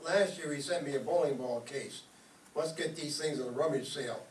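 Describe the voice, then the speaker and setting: A grumbling, wheedling husband played by a man in an old-time radio comedy, with a slightly high voice. He wisecracks and exclaims theatrically, heard from a distance in a roomy space. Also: a little fast, lightly acted. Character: bickering, grumbling, tightfisted husband, excitable and wheedling. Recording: roomy, distant mic with background noise.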